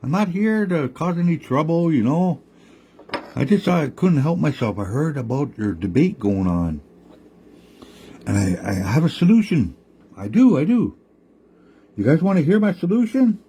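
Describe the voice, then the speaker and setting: A storyteller lifts his voice high to play a sly coyote trickster, all easygoing charm and coaxing reassurance. His voice goes up well above his usual register but keeps a mellow, warm tone. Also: sing-song, normal speed, pauses often, a little hiss or hum. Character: sly smooth-talking male coyote trickster.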